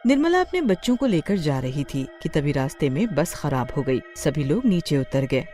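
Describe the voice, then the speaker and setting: A woman's voice: low-pitched, big pitch swings, normal speed, mellow, faint music behind. A woman narrating a scripted horror story.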